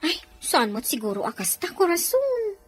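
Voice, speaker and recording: A woman's voice: extremely high pitch, wildly swooping pitch, moderate pace, full character voice. A Filipino woman playing an outspoken, bold housemaid in an audio drama, with pointed, emphatic delivery. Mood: reassuring.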